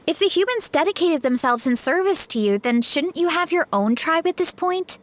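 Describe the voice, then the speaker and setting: A level-headed young adventurer in a game scene. Her high voice moves a lot as she weighs doubts and reasons things out. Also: expressive, moderate pace, slight background noise. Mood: skeptical. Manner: reasoning, skeptical. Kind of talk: game voice acting.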